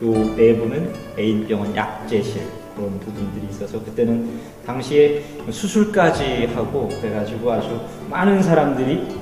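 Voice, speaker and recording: A middle-aged man, an Anglican priest, giving an informal explanatory tour and pausing to find his words. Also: fairly low pitch, dark tone. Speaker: Anglican priest, church guide. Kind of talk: informal explanatory guided tour of church history. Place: inside a wooden church hall. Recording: echoey hall, distant mic, faint music.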